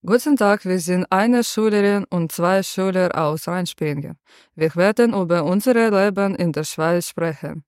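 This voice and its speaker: A woman, hosting a student podcast in slow, careful learner's German with frequent pauses. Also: lowish voice, a little mellow, no room sound, studio quality. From Ukraine. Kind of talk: school podcast interview.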